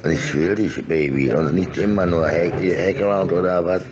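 Middle aged guy, really low voice, rambles and repeats himself, muffled lofi phone sound with crowd noise behind. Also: normal speed. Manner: repetitive, insistent, disjointed. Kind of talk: casual, rambling monologue.

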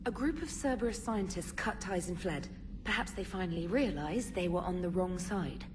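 An actress voicing a female ship intelligence that reports in a precise, measured way. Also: fairly low pitch, lively intonation, noisy background, close to an everyday voice.

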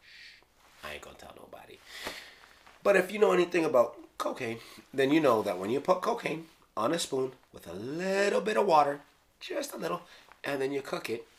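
A Jamaican man in his thirties casually explaining his own rap lyrics in a small room, playful and slangy, sometimes dropping to a hush. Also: a little high, sing-song, brisk, pauses often. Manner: playful, slangy, drops into hushed asides. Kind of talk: casual solo video commentary. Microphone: studio mic on stand.

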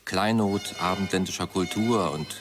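Low-voiced, pompous actor as grand boss. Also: male voice, normal speed, over music, close to an everyday voice. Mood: grandiose. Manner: florid, pompous.

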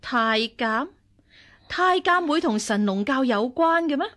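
An actress playing a stern, commanding Buddhist nun and martial arts master in a radio drama, speaking in an imperious, interrogating way. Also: female voice, high-pitched, expressive, unhurried, fairly bright tone. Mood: stern, probing. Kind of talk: Cantonese radio drama acting.

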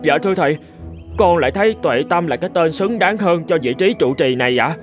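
An actor playing an earnest, respectful young monk in a cartoon folk tale, speaking deferentially. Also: male voice, a little high, expressive, a little fast, natural voice. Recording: music under the voice.

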